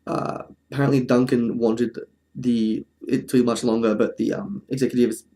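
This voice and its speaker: Male presenter, mid-pitched and mellow, informal and chatty, for casual review commentary with a wry touch. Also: little pitch movement, normal speed. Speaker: presenter of a film review video essay.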